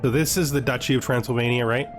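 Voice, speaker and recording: A man's voice: medium pitch, steady tone, fast. A man giving casual, rambling let's play commentary as a gaming host, often tagging on a "right?". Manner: chatty, rambling, checks in with "right?". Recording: music behind the voice.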